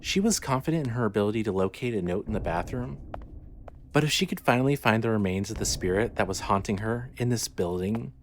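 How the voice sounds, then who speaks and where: Male narrator, horror audio fiction: scripted storytelling, lower register, slightly noisy track. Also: animated, fairly quick.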